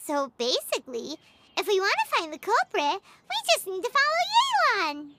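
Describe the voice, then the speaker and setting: A woman voicing a tiny, excitable childlike fairy companion in a video game, squeaky and dramatically exclamatory. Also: normal speed, very noisy, exaggerated voice. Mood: relieved, curious. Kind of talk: scripted video game dialogue. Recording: loud game music behind the voice.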